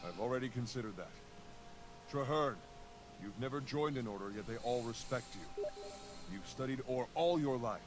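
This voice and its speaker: A man's voice: mid-range voice, expressive, normal speed, long pauses, close to an everyday voice. An actor playing a resolute male hero in a video game, speaking steadily and persuasively. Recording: game audio with background noise.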